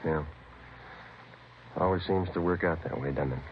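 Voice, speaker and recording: An American actor playing a terse undercover narcotics sergeant in a 1950s radio drama, clipped and matter-of-fact. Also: male voice, sits low, moderate pace, slight background noise, lightly acted. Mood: weary, dry. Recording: muffled vintage radio recording with music.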